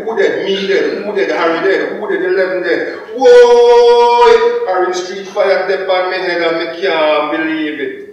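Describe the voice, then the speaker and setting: A man's voice: a little high, natural voice. A man reciting performance poetry as an anguished, incredulous poor Jamaican, rhythmic and dramatic, in a Jamaican accent. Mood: alarmed, accusing. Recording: very echoey hall, distant muffled mic.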